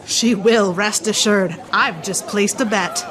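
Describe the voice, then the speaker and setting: A woman's voice: medium pitch, slow pace, background noise, natural voice. An actress playing a gossipy, dramatic, loyal theatre-going woman in a radio play, declaiming rhymed verse rhythmically. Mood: confident.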